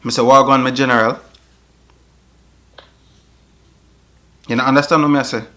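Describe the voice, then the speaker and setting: A young man putting on a Jamaican accent as a boastful, playful young man, speaking loud, swaggering patois. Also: medium pitch, fairly even intonation, very fast, slight background noise, lightly acted. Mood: loud, friendly. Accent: put-on Jamaican. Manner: loud, swaggering patois, keeps asking "you hear me".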